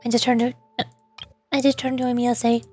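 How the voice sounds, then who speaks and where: Woman, very high voice, game streamer, chatty casual asides, music behind. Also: flat delivery, a little fast, a little mellow. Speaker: streamer, VTuber.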